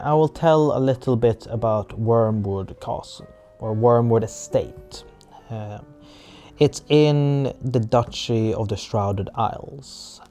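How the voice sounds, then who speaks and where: Slow, creaky-voiced man narrating as game master. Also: mid-range voice, mellow. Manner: halting, searching for words. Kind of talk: improvised scene-setting for a tabletop roleplay audio drama.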